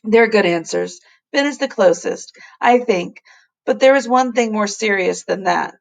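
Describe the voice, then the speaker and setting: Earnest, emphatic professor read by a woman, creaky. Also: medium pitch. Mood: grave, earnest. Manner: deliberate, explanatory, emphatic. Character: earnest, kindly, scholarly male professor.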